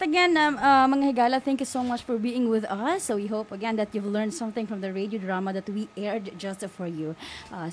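A woman with a Filipino accent co-hosting a radio show from a small studio, thanking listeners in a lively, upbeat way. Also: medium pitch, slight background noise. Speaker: radio host. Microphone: studio microphone on a boom arm.

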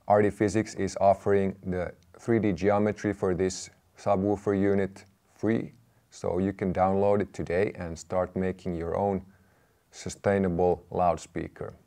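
A man in his thirties giving a measured, explanatory product presentation to camera. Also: low-pitched, level tone, dark tone. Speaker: presenter, company representative. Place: indoor room with brick wall, seated at a table.

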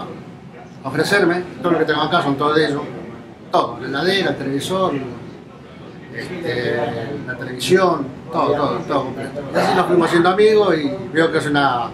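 Older Argentine man in an echoey café. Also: a little high. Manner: plainspoken, unhurried, trailing fillers. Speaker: café owner. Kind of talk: casual interview. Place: small café indoors, facing the camera. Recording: echoey café with crowd noise.

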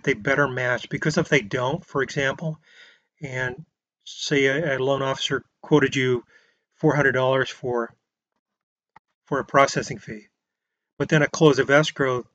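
A man's voice: mid-range voice, steady tone, moderate pace, a bit bright, dry recording, dull audio. A man lecturing on home loans over slides in a plain explanatory way, often restarting his sentences. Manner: plain explanatory delivery with restarts and filler words. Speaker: real estate instructor.

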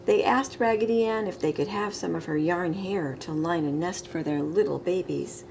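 A middle-aged woman reading a children's picture book aloud with expressive, emphasized storybook delivery. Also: on the low side, moderate pace. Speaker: storytime reader. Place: living room, indoors. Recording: clear background room noise.

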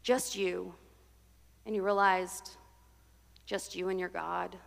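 A woman in her forties preaching at a church pulpit, conversational and playful, then soft and reflective. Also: on the low side, steady tone, slow pace, vocal fry. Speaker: pastor. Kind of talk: sermon. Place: church sanctuary, indoors. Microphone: stand mic at a pulpit. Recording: long church reverb.

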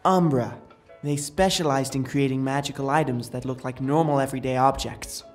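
Brisk female expert for a fantasy comic dub, acted by a woman; low pitch, clear explanatory manner. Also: faint music behind. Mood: matter-of-fact. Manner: matter-of-fact, explanatory. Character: brisk, knowledgeable female guide explaining magic items.

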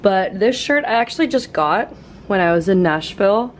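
A woman's voice: medium pitch, lively intonation, moderate pace, slight background noise. A woman in her twenties chatting casually to her camera at home, upbeat and thinking aloud, her voice still recovering from being sick. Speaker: vlogger. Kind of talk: casual vlog chat to camera. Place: apartment bedroom and kitchen, indoors.

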